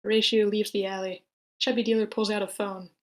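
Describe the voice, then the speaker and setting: Woman narrating screenplay action, medium pitch. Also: flat delivery, a little fast, a little mellow. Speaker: stage directions narrator.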